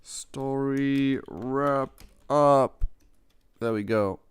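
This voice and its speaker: A man's voice: mid-range voice, very slow, clear, resonant tone. A man giving casual live reaction commentary on a game, rambling and often rewording himself. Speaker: streamer reacting to game cutscenes.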